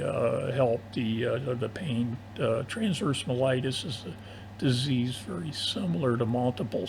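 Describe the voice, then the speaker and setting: An older man chatting casually over amateur radio in long turns, with a halting, hesitant delivery. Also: mid-range voice, gravelly. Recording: noisy amateur AM radio audio.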